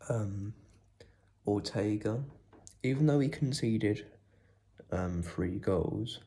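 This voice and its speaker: A young man with a cold and sore throat, talking quietly and casually about a football match, with lots of ums. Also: lowish voice, animated, slow pace, gravelly. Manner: speaks quietly, holding back volume; rambling with many ums. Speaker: football fan vlogger. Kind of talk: casual post-match reaction.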